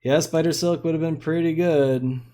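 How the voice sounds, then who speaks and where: A man's voice: on the high side, steady tone, normal speed, mellow. A young man giving casual, rambling commentary on a card game he is streaming. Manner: laid-back, rambling, lots of fillers.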